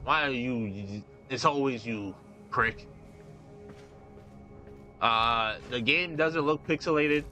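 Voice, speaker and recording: A young man streaming a game, commenting live in an excitable, profane rant full of repeats and restarts. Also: medium pitch, slow, long pauses, faint music behind. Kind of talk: ranting live gameplay commentary.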